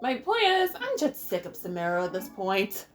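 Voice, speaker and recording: A woman's voice: mid-range voice, huge pitch swings, normal speed, a little hiss or hum. A woman doing casual Let's Play commentary as host, playful and rambling with sing-song exclamations. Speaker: gaming video host.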